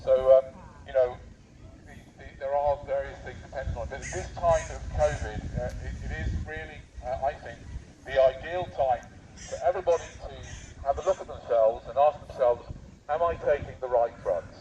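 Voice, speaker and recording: A British man giving a mock-serious, provocative public speech through a megaphone in a deadpan manner, addressing passers-by directly. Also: mid-range voice, normal speed. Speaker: street speaker with megaphone. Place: outdoor public park. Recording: dull megaphone outdoors, distant, faint noise.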